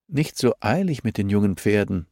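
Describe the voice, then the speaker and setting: A man's voice: on the low side, expressive, normal speed, warm tone, dry recording, clean studio sound. A man voicing a businesslike female investigator in an audiobook, firm, wry and politely insistent. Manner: matter-of-fact, politely insistent.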